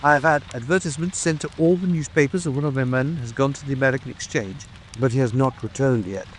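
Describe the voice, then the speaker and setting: Man playing a proud Victorian police detective, medium-pitched. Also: fast talker, slight background noise, close to an everyday voice, rough recording. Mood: defensive. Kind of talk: dramatic audiobook dialogue. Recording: steady rain ambience over voice. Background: rain.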